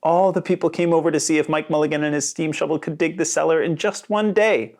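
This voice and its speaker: A narrator reading a children's storybook aloud with dramatic, expressive emphasis. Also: mid-range voice. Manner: dramatic, with sound words and slowed emphasis.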